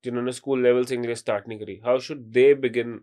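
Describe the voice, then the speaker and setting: A man hosting a podcast interview, asking halting questions that restart mid-sentence. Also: mid-range voice, flat delivery, dark tone.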